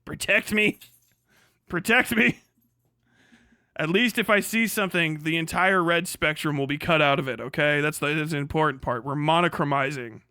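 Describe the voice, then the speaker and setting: A young man streams from home, reacting to what is on his screen with sardonic, profane disbelief and frequent laughter. His voice sits a little high and sounds bright and forceful. The sound is close and dry. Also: moderate pace, smooth, no echo. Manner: sardonic, swearing, laughing often, incredulous outbursts. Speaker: streamer host. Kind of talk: casual live-stream commentary.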